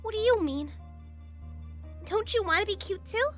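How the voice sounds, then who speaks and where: A woman's voice: very high-pitched, lively intonation, moderate pace, a bit bright, faint music behind, voice pushed up. A woman voicing a cute girl idol who is sweet and confused.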